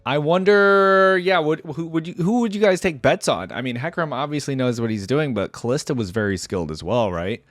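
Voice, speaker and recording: A man's voice: a little high, lively intonation, fairly quick, few pauses, fairly bright tone, no room sound, clean studio sound. A man hosting a reaction video in a casual, chatty way, reading things out and commenting informally. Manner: informal, reads out then comments.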